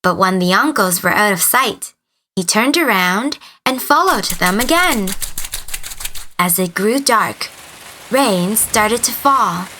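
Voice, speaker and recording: Slow, expressive woman telling children's stories. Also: medium pitch, slight background noise. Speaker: children's storyteller, teacher. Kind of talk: expressive scripted storytelling for children.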